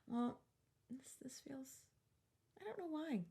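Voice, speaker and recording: Chatty, excitable woman podcast host. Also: high-pitched, takes it slowly, slightly rough. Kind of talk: casual podcast chat.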